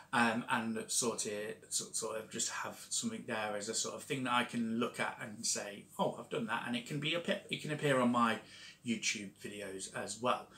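A man's voice: medium pitch, bright, forceful tone. A young man casually chatting on a home-studio vlog, rambling with many fillers and restarts. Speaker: vlogger and self-published author. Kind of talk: casual talking-head vlog. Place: home studio room with shelves. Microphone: fixed camera at desk distance. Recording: echoey room.

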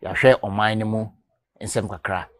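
A man's voice: lowish voice, a little slow, no echo, clean studio sound. A man in his thirties with a Ghanaian accent, hosting an outdoor interview in a mix of Twi and English on a lapel mic. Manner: lively, mixes Twi and English, makes channel announcements. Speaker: interview host. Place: outdoors in a garden yard.

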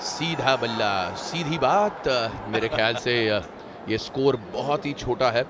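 A man co-commentating a cricket match live, polite and measured, often addressing his partner as sir. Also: mid-range voice. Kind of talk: live cricket commentary mixing Hindi and English. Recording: commentary with loud stadium noise.

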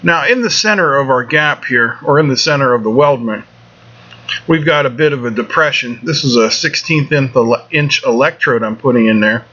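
A man's voice: medium pitch, moderate pace, pushed and bright. A middle-aged man giving a casual welding lesson in a home shop, talking in a plain-spoken, folksy way. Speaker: welding instructor, show host. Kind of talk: casual instructional voice-over. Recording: dull lo-fi sound with faint air conditioning hum.

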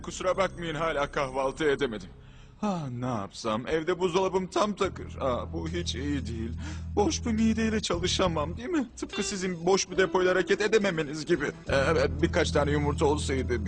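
An actor playing a fussy, hungry, apologetic mechanic in a dubbed children's cartoon, whiny and chattering. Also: male voice, a little high, natural voice. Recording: music behind.

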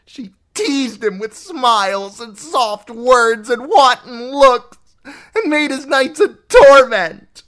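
An audiobook narrator voicing a jealous, unhinged middle-aged noblewoman who accuses in a shrill, spiteful voice that rises into screeching. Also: big pitch swings, slow, hardly any pauses. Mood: furious. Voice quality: shrill, piercing. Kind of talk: audiobook character voice.

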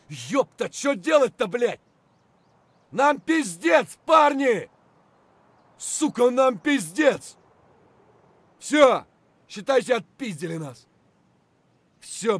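An actor playing an aggressive, cursing Russian soldier in a military shooter game, with a rough, husky voice. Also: male voice, a little high, fairly bright tone, dry recording, full character voice. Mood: panicked. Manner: barking, cursing. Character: aggressive, foul-mouthed Russian male soldier in combat.

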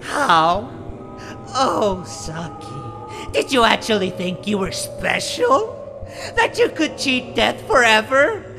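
A performer voicing a taunting, gloating demon who foretells doom in a comic dub, mocking, drawn-out and ominous. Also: mid-range voice, sing-song. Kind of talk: theatrical comic-dub villain acting. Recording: music behind the voice.

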